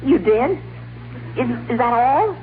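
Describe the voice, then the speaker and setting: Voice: mid-range voice, lively intonation, slow. A performer playing a jittery, stammering teenage boy in a 1940s radio comedy, on a tinny, hissy old broadcast. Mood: flustered. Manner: stammering, flustered, pleading.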